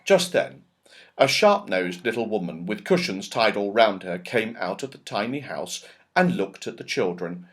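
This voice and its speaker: Very animated man reading a story. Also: lowish voice, moderate pace. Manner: expressive, emphatic. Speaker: audiobook reader. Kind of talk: scripted children's story narration.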